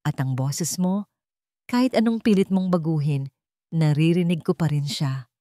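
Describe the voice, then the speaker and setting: A woman's voice: sits low, normal speed, mellow, no room sound, studio quality, lightly acted. A woman voicing a wealthy businessman in a drama, cold, insistent and accusing. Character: wealthy young businessman, cold, irritated, intense.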